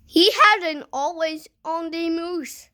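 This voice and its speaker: A woman reading a children's picture book aloud in a deliberate way, pausing between short phrases. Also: very high voice, sharp tone. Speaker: storybook reader.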